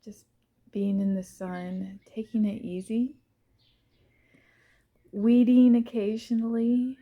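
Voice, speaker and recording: A woman gently leading a guided visualization in the first person, soft and unhurried, pausing between short phrases. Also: on the high side, flat delivery, phone-quality sound.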